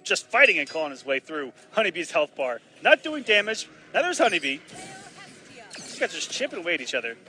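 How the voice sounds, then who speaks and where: A man doing live play-by-play commentary on a fighting game, excitable and bursting loud on big plays, his voice slightly raspy from long casting. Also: fairly high pitch, fairly quick, soft background music. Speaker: esports commentator.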